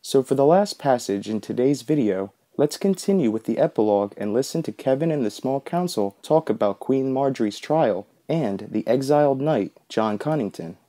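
A man's voice: medium pitch, animated, normal speed, few pauses, warm tone. A man narrating a scripted book analysis as the host of a video essay.

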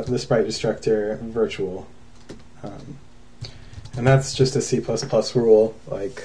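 Young man, unhurried casual tutor, soft mellow tone, faint typing behind. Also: mid-range voice, a little hiss or hum. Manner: casual, thinking aloud with many ums. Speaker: programming tutorial host. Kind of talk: screencast coding tutorial.

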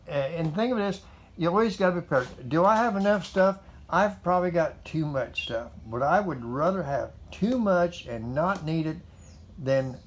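A man's voice: a little high, a little hiss or hum. A man in his seventies, a motorcycle vlogger, talking in a folksy, meandering way with many fillers and repeats, recorded on a camera set up in a metal carport. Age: 70s. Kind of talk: rambling casual monologue to camera. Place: metal carport garage, indoors.

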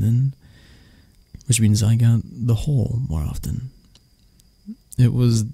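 A man playing an arrogant, prickly CEO boss who turns vulnerable and flirty, in an intimate, halting ASMR roleplay that often drops toward a whisper. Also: lowish voice, creaky, warm tone, husky, no room sound, exaggerated voice. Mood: bitter, vulnerable.

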